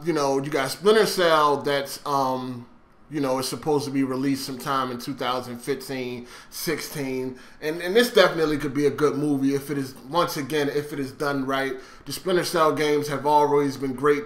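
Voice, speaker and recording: A man's voice: fairly high pitch, moderate pace, fairly bright tone. A man giving casual, opinionated gaming commentary in a blunt, sweary way, often checking in with the listener. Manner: blunt, swearing, repeated conversational check-ins. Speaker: gaming video host. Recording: roomy, echoey sound.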